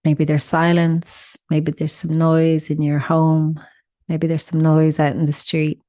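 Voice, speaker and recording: A woman with a soft-edged, mellow voice sitting a little low in pitch guides a meditation. She gives soothing, simple instructions and leaves deliberate pauses between them. The recording is dry and close. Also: completely flat delivery, no room sound.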